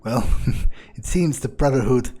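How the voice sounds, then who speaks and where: A man voicing a cold, aloof vampire in an in-character game playthrough, measured and sardonic, as if musing to himself. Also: medium pitch, mellow, husky. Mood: sardonic, predatory. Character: cold, aloof male vampire, darkly dismissive.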